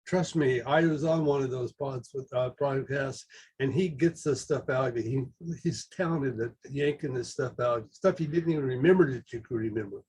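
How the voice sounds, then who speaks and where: A man with a husky voice moderating an online meeting in a casual, folksy way. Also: mid-range voice, normal speed, darkish tone, a little hiss or hum, low-quality recording. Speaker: session moderator.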